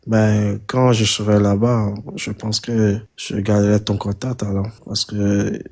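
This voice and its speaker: A man's voice: fairly low pitch, little pitch movement, a little slow, a little mellow. A man calling in to a live stream, hesitant and stammering at times as he asks questions. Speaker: caller. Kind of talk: phone-in conversation.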